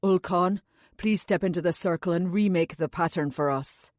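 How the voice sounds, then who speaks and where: A woman reading an audiobook voices a grave, commanding man who speaks gently and slowly to a child. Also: no room sound, studio quality, natural voice. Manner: deep, slow, authoritative yet gentle.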